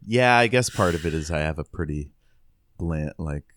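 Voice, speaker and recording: A man's voice: extremely low pitch, lively intonation, moderate pace, fairly bright tone, no echo, clean studio sound. A man co-hosting a podcast, talking casually about a book in a laid-back way. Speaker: podcast co-host.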